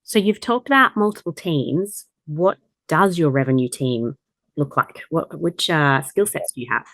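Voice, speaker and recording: A woman's voice: deep voice, measured pace, fairly bright tone, dry recording. A woman hosting a podcast, putting questions to a guest in a conversational way. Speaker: podcast host.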